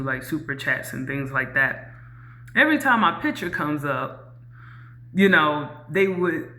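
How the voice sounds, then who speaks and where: A woman with a very low voice, talking candidly to camera in a reflective way, with many ums and you-knows. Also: bright, forceful tone. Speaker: YouTuber. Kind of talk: casual talk to camera.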